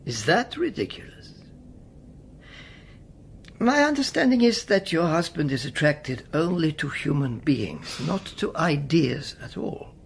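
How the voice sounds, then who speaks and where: A man's voice: fairly high pitch, big pitch swings, unhurried, gravelly, slight background noise, exaggerated voice. An actor playing an older male Czech spymaster in a radio drama, courteous and persuasive, often dropping to near a whisper. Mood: musing, wistful.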